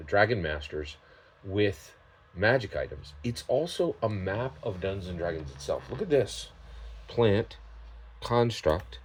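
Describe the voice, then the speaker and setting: Deep, husky man in emphatic unscripted commentary. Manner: emphatic, halting delivery with repeated tag questions. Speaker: tabletop gaming vlogger giving his opinions. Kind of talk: unscripted, opinionated product commentary.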